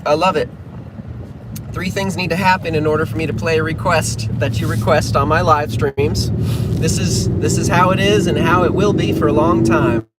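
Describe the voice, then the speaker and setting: Guy from new england, kinda high voice, chatting on a stream while driving, car noise loud behind him. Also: fairly even intonation, normal speed. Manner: chatty, narrates his driving and reads out fan comments. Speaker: pianist livestreaming to fans. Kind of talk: casual livestream chat. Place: inside a moving car. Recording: loud road and engine noise. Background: loud car and traffic noise.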